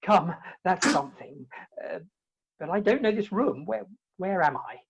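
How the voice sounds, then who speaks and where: A woman playing a flustered, melodramatic Victorian gentleman in a comic radio play, with theatrical, pompous delivery over a home video call. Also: fairly low pitch, animated, a little slow, vocal fry, muffled recording, noisy background. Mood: querying, indignant. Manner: theatrical, exclamatory, pompous wording.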